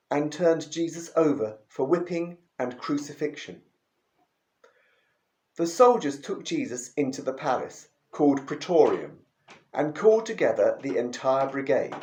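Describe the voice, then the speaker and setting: Slow, expressive male Bible reader. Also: a little high. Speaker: scripture reader. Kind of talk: Bible reading. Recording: roomy echo.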